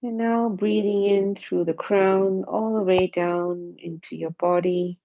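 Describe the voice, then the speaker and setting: A woman guides a body scan meditation, speaking calmly and gently in short, spaced phrases. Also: mid-range voice, slow. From Singapore. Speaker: mindfulness meditation teacher. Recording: dull, muffled lo-fi sound.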